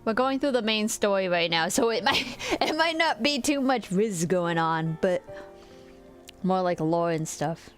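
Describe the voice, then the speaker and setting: A woman's voice: mid-range voice, animated, a little slow. A woman live streaming a game, chatting casually and informally with viewers. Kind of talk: casual game stream chat with reading aloud. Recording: game music behind the voice.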